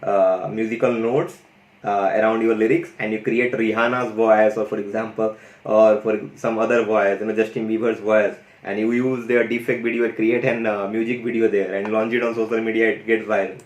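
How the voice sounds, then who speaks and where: A fairly low-pitched man talks through a screen-share tutorial in a loose, excited way. He keeps throwing in "you know" and "guys". His voice rings with a roomy echo. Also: moderate pace. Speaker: tech YouTuber demonstrating an AI audio tool.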